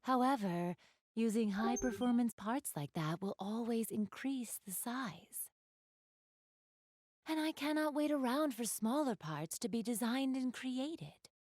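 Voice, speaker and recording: A woman's voice: a little high, animated, a little slow, darkish tone, no echo, clean studio sound. A woman playing an elegant, polite young goddess in a video game, speaking to the listener in formal, ladylike phrases. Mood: earnest, thoughtful. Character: elegant, refined young goddess with gamer enthusiasm. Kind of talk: video game character dialogue, addressing the listener.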